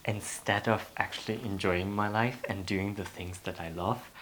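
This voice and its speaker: A man's voice: on the low side, a bit bright, a little hiss or hum. A man from Poland who grew up in Germany, talking earnestly to the camera and encouraging viewers, sometimes dropping to a whisper. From Poland, raised in Germany. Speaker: vlogger and B and B owner. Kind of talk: reflective vlog talk to camera.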